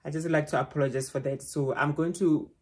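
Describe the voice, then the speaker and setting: A young South African woman with a loud voice, chatting casually to a phone camera at home as a vlogger, rambling with frequent restarts. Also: low voice, fairly even intonation, a little fast.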